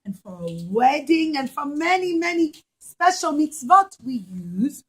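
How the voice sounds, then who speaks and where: A woman preschool teacher telling a story to young children in an animated, questioning way, repeating key words. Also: high voice, a little slow.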